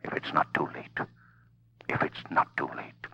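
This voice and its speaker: A man playing an invisible, mysterious crime fighter with a commanding, solemn, deliberate delivery in 1940s radio drama style. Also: noisy background, close to an everyday voice. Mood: grave, solemn. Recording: muffled old-time radio recording.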